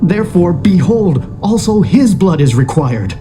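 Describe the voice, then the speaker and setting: An actor playing a distressed, reproachful eldest Hebrew brother in a dramatised scripture reading. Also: male voice, high-pitched, very animated, a little slow. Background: music.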